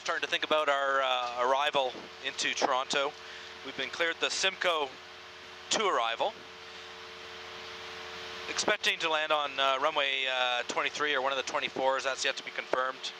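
A man who is an airline first officer, calmly and methodically explaining the flight from the cockpit. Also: mid-range voice, normal speed. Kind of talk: cockpit commentary. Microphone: aviation headset. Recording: engine noise behind.

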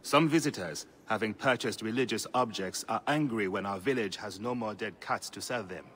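An actor playing a gentle, weary male village priest who speaks politely in a video game. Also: on the low side, slight background noise, close to an everyday voice. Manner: polite, measured, courteous.

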